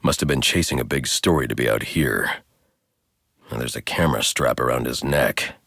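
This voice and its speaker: Very deep grim vigilante. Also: male voice, normal speed, vocal fry, no echo, clean studio sound, heavily acted. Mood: analytical, deadpan. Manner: terse, deadpan, stern. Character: grim, brooding adult male masked vigilante detective. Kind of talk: scripted video game voice lines.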